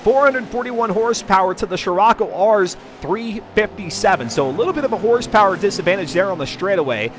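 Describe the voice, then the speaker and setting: High-pitched male race commentator. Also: lively intonation, fairly quick, hardly any pauses. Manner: folksy, chatty announcer patter with jokey asides. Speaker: sim racing livestream commentator and host. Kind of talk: live racing commentary. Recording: racing car noise behind the voice. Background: car engines and race ambience.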